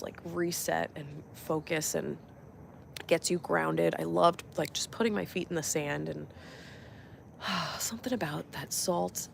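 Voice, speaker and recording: A young woman with a cold who sounds a bit nasal, chatting in a relaxed, reflective way on a motivational live stream while walking outdoors. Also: on the low side, vocal fry, slight background noise. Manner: relaxed reflective chat with fillers. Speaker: vlogger and life coach. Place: outdoors on a walk.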